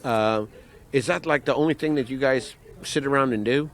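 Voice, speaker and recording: Male interviewer, casual chat, informal, joking, rambling questions, mid-pitched voice. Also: moderate pace.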